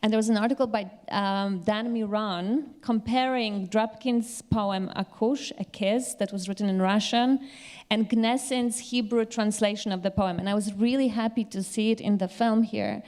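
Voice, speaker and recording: A woman speaking as a literary commentator in an informal scholarly discussion, pausing often to recall details. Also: medium pitch, fairly even intonation, a little mellow.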